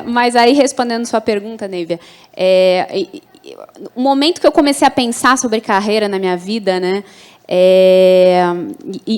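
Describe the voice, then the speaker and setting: A woman's voice: a little high, clear, resonant tone. A woman panelist answering in a chatty way, with hesitant restarts.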